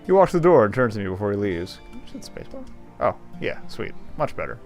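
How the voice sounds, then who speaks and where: A man's voice: on the low side, huge pitch swings, fast talker. A man streaming a game, casually commenting and reading the on-screen text aloud. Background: faint game music.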